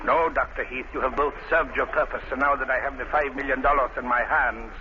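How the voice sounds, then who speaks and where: A man's voice: medium pitch, steady tone, a little fast, natural voice. Man acting a cold, grandiose radio crime villain. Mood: gloating menace. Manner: menacing, formal, grandiose villain delivery. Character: megalomaniac male criminal mastermind, cold and merciless. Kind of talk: old time radio crime drama acting. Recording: noisy, muffled vintage radio recording.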